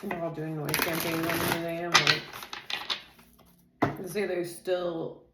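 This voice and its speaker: A woman's voice: on the low side, little pitch movement, a little slow, heavy background noise. Chatty woman reading tarot, echoey room. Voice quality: says she has a naturally loud voice. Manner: chatty, loose, filler-heavy, says "dude" and "like" constantly. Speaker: tarot reader. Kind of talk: casual tarot reading and rambling chat.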